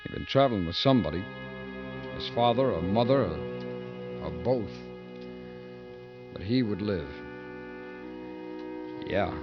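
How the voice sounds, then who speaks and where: Fed-up American convict, animated, old-radio sound. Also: male voice, lowish voice, slow, pauses often, highs cut off, over music. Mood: reflective narration. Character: fed-up convict, protective, defiant, guilt-ridden man. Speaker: protagonist and narrator. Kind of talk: 1950s radio drama acting. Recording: band-limited 1950s radio recording.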